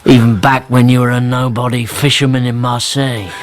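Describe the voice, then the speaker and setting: An actor playing a sly, pompous, wealthy baron in a radio drama, speaking in a mocking, evasive way. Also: male voice, mid-range voice, level tone, brisk, faint music behind, natural voice. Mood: smug, sly. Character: smug, sly, self-important wealthy baron.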